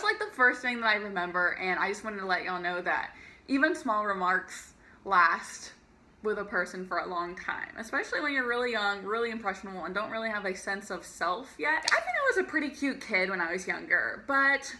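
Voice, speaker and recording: A young woman telling a personal story to camera in a chatty, peppy way, laughing often and using lots of fillers. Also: sharp tone. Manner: peppy raised voice over a lower natural one. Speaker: vlogger. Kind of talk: casual storytime monologue.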